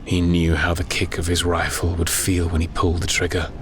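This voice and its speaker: Male narrator for grim war fiction: low, grave, very even delivery over clearly audible ambience. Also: brisk, slight background noise. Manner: grave, solemn. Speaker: audiobook narrator. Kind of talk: dramatic scripted horror war narration.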